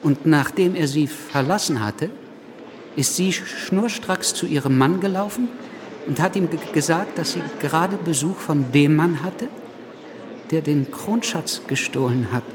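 A man's voice: on the high side, unhurried, crowd noise, natural voice. A man playing a stammering, sardonic revolutionary gossip in a radio play, with a wry, needling manner. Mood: wry, gossipy. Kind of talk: radio drama dialogue.

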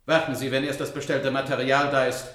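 A polite, unflappable household robot played by a man in a radio drama. It talks quickly and matter-of-factly, with a motherly streak, in a roomy echo. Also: medium pitch, little pitch movement, a bit bright, a little hiss or hum, natural voice. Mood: calm, matter-of-fact. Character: self-replicating household robot with programmed motherly instinct, unflappable. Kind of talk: German radio play acting.

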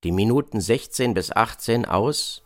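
Low-voiced man, scripted radio narration. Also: animated, a little slow.

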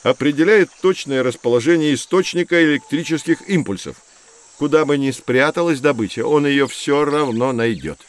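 A man's voice: on the high side, close to an everyday voice. A man playing a knowledgeable, patient nature guide who explains things in a children's educational audio drama. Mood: informative. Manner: measured, didactic.

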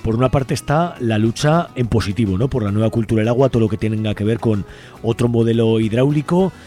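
A man's voice: medium pitch, fast talker, dark tone, soft background music. A man explaining things in a radio interview, often ending statements with a questioning tag. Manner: tags statements with questioning 'no?'. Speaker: environmental activist guest.

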